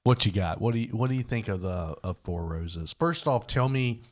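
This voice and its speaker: A Southern US man with a very low, deep voice, chatting easily as a podcast co-host. His talk is laid-back and drawling, with the soothing tone he says listeners fall asleep to. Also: expressive, moderate pace. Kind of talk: casual chat over bourbon.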